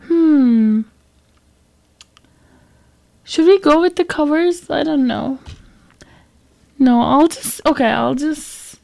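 A young woman with a high voice that often slips into creak, still recovering from being sick. She chats casually as a student radio host, rambling along and poking fun at herself. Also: darkish tone. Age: young adult. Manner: rambling, self-deprecating. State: recovering from a week of sickness. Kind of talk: casual music show chat.

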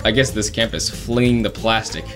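A man's voice: lowish voice, moderate pace, music in the background. A young man co-hosting a casual chat, teasing with dry humor. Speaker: co-host.

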